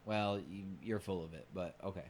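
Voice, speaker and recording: A man co-hosting a podcast in casual conversation, halting and often restarting his phrases. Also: sits low, darkish tone. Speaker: podcast co-host.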